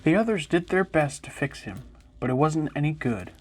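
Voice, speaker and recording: A man reading a children's picture book aloud in an expressive storytelling manner. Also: on the high side, normal speed, a little hiss or hum. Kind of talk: children's story time narration.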